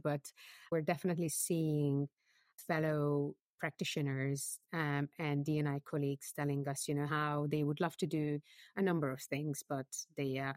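A woman's voice: sits low, dry recording, clean studio sound. A woman with a Hungarian accent, talking earnestly with many fillers and restarts as a guest in a discussion. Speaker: executive producer, guest. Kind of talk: conversational discussion. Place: London, UK.

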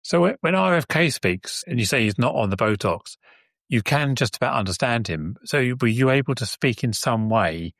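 A man with a deep voice hosting a casual podcast interview, asking loose, meandering questions. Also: normal speed, dry recording, studio quality. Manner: relaxed questioner, meandering phrasing. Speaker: podcast host.

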